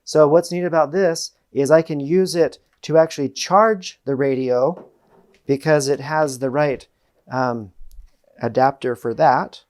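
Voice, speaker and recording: A man's voice: a little high, fairly even intonation, measured pace, darkish tone. A middle-aged American man hosting a product demonstration to camera, explaining things in a plain-spoken way. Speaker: host. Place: small indoor room with panelled wall. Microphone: lapel mic.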